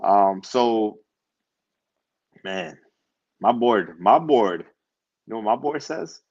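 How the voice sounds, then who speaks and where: A man's voice: lowish voice, expressive, slow, a little mellow, dull audio. A man co-hosting a draft show, chatting casually and thinking aloud as he makes his picks. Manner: laid-back, hesitant, thinking aloud. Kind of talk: casual mock draft chat.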